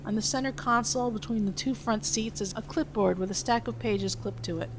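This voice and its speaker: A woman's voice: a little high, a little fast, rough recording. A woman narrator one would hear on a homemade script-reading podcast, laying out stage directions over faint noise.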